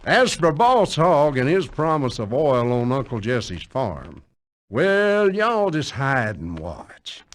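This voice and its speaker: Folksy Southern storyteller, very lively pitch. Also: male voice, takes it slowly. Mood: wry, folksy. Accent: American Southern. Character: folksy, wry country storyteller narrator. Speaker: narrator. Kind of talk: folksy scripted narration.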